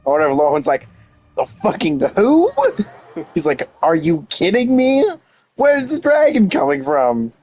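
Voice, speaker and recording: Excitable, very animated woman streamer. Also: medium pitch, takes it slowly, rough recording. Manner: excitable, slangy, rambling. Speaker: streamer playing and reacting. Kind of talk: casual gameplay commentary.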